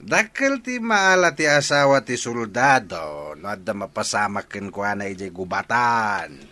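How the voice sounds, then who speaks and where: Forceful, animated village father. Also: lowish voice, a little slow, full character voice. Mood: scheming. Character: opportunistic, money-minded village father. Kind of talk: radio comedy-drama acting.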